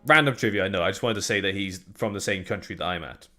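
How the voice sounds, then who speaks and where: A man's voice: low voice, fast talker, bright, forceful tone. A young man from Wales with a light Welsh accent, co-hosting a casual tech podcast in a chatty, joking, rambling way. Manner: chatty, jokey, rambling with restarts. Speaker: co-host.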